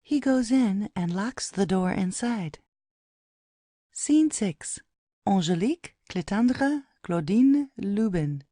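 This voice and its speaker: Slow, mellow woman narrator. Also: medium pitch, animated, no echo, studio quality. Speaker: narrator reading stage directions. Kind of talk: scripted narration.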